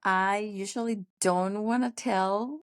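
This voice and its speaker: A woman's voice: mid-range voice, fairly even intonation, clean tone. A middle-aged woman, raised in Paraguay with Spanish as her first language, talking earnestly and reflectively as a podcast guest. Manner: reflective, earnest, pauses with fillers. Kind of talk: casual conversational interview.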